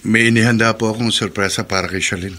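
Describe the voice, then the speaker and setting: A man's voice: fairly low pitch, fairly even intonation, quick pace, bright, forceful tone, a little hiss or hum. An actor in a Filipino radio drama playing a respectful soldier who cares for his family. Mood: earnest, caring. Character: caring soldier, respectful son and protective brother.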